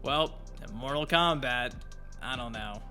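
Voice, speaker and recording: Bright-voiced man hosting a gaming vlog. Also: medium pitch, measured pace. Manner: colloquial, profane, addresses viewers directly. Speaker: host of a gaming news channel. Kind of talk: casual opinion commentary to viewers. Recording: music behind the voice. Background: music.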